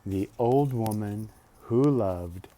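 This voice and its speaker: A man reading a children's picture book aloud expressively, drawing out words, pausing and adding casual asides. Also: on the low side. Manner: expressive, with drawn-out words and pauses. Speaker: storybook reader.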